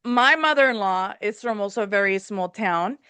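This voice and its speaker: A woman's voice: mid-range voice, normal speed, bright, forceful tone, clear, resonant tone, no room sound. A woman from Mexico hosting a podcast, chatting casually and mixing Spanish words into her English. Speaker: podcast host. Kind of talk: casual chat.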